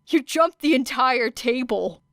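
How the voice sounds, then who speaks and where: A woman voicing a stubborn, exasperated teenage boy who growls his words out slowly, in a storybook audio reading. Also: high-pitched, expressive, fairly bright tone, no echo, pitched above the performer's own voice, heavily acted. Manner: slow, dry and exasperated.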